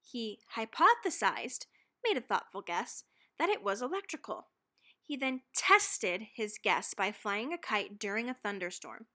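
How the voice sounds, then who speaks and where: A woman reading a children's book aloud as narrator, expressively and dramatically, leaning on key words and pausing for effect. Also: fairly high pitch, sharp tone. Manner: dramatic, with stressed words and pauses. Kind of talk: expressive scripted storybook reading.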